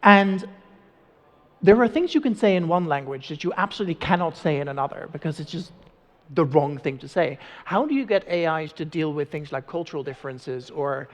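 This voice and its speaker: A man's voice: a little high, expressive, brisk. Dutch-native man moderating a panel. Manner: curious, conversational questioning. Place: large conference stage. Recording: reverberant hall.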